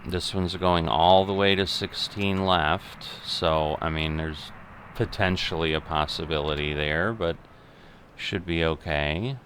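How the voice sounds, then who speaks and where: A middle-aged man giving unscripted simulation game commentary, thinking aloud in a methodical, halting way. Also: deep voice, steady tone, a bit bright, slight background noise. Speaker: gaming video host.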